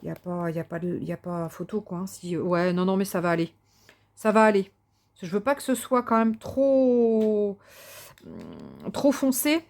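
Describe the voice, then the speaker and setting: A woman's voice: mid-range voice, very animated, moderate pace, gravelly. A woman vlogger chatting casually while she crafts, thinking aloud with many fillers and restarts, enthusiastic and sometimes muttering to herself. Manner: enthusiastic about colours; talks to herself softly while working.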